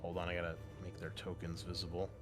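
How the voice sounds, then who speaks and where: A man's voice: low-pitched, monotone, fast talker. A man narrating a tabletop role-playing game as game master in a conversational way, addressing his players. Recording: music playing behind the voice. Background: background music.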